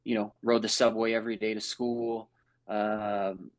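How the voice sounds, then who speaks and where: Gravelly laid-back man in his thirties. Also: fairly low pitch, level tone, a little slow, no room sound. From Brazil, raised in New York. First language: Portuguese. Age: 30s. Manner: laid-back, rambling, lots of fillers and "bro", "man". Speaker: guest, house flipper. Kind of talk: casual podcast chat.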